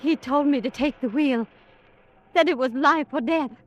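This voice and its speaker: An actress playing a timid, shaken but quietly determined young woman in a 1940s radio drama, speaking in a halting, earnest way. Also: high voice, close to an everyday voice. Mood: shaken, grieving. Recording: dull, noisy old radio recording.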